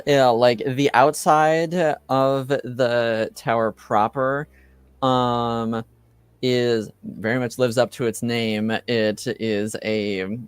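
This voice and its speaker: A man acting as game master, improvising descriptions for a tabletop game and often pausing mid-phrase to find his words. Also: mid-range voice, clean tone, no echo.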